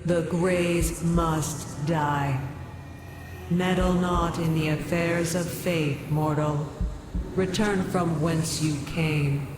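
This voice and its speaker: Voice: mid-range voice, fairly even intonation, extremely slow. An actor playing a solemn, menacing immortal fate in a game cutscene, speaking in archaic, imperious phrases with a cavernous reverb. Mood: solemn menace. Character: Atropos, solemn menacing fate entity who severs life. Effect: long cavernous reverb. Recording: cavernous echo, noisy game ambience behind.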